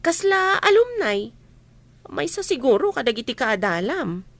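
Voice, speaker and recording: An actress playing a chatty, coaxing friend in an audio drama. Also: female voice, sits high, sing-song, a little slow, no room sound. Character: chatty, coaxing woman friend spreading reunion news. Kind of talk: Ilocano audio drama acting.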